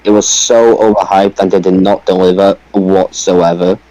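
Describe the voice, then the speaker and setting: Low, mellow-voiced man, dry sound. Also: little pitch movement, normal speed, dry recording, poor audio. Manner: blunt, opinionated. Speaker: podcast panelist. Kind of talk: casual sports opinion chat.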